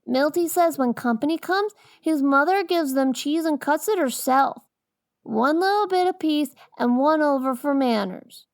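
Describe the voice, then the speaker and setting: A woman's voice: high-pitched, a little slow, no echo, clean studio sound. An actress playing a cheeky, inquisitive young boy with blunt, earnest, folksy talk in an audiobook drama. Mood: earnest, curious. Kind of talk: scripted audiobook drama acting.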